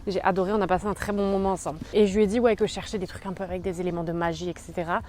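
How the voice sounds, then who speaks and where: Young woman rambling fast in a book vlog, mellow tone, faint background noise. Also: fairly low pitch, fairly even intonation. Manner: chatty, rambling, many fillers. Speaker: book YouTuber. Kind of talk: casual vlog chat.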